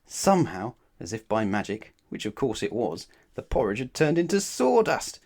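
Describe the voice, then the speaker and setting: A man's voice: medium pitch, very animated, normal speed, creaky, darkish tone. A man reading a children's story aloud as narrator, expressively and with dramatic emphasis. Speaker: storybook reader.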